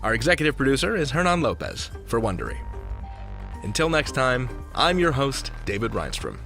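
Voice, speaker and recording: A man's voice: mid-range voice, sing-song, moderate pace, bright, forceful tone. A man hosting a podcast in a scripted, conversational storytelling style, playful and theatrical in his emphasis. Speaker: podcast host. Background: music bed.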